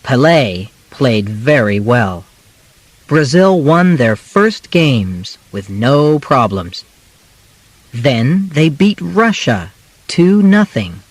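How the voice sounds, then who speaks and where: A man narrates a simple audiobook at an unhurried pace, breaking sentences into short, careful phrases. His mid-pitched voice moves with animated intonation. The sound is close and dry, with no room around it. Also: no room sound, low-quality recording. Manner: measured, clearly phrased in short segments. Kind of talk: scripted narration of a simplified biography for learners.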